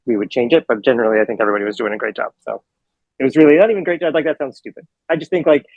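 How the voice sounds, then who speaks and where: A man in a casual interview, talking through long answers with many fillers and self-corrections. His gravelly mid-range voice is close and dry, but the sound is a bit dull and lo-fi, like a remote call. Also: mid-range voice, steady tone, rapid-fire, no echo. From Hawaii. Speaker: film director.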